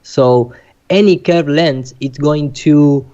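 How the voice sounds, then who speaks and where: A man explaining something informally, haltingly, often searching for his words. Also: fairly high pitch, mellow. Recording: dull, lo-fi audio.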